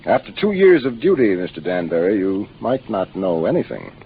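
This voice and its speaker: Low-voiced, commanding American spaceship captain. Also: male voice, very animated, moderate pace. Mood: grave, explanatory. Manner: commanding, explanatory. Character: seasoned, matter-of-fact male spaceship captain. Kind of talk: 1950s radio drama acting. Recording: muffled, noisy 1950s radio broadcast.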